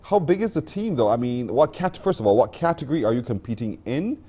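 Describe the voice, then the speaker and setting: A man's voice: medium pitch, big pitch swings, fast talker, warm tone. A man hosting a morning TV show, chatty and laughing easily as he asks long, rambling questions. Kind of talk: broadcast interview.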